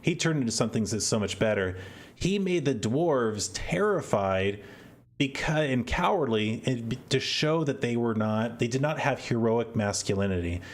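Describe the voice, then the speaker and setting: A man's voice: medium pitch, lively intonation, moderate pace. A man hosting a podcast, giving casual, exasperated commentary and often repeating words as he thinks aloud. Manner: opinionated, exasperated. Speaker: podcast host.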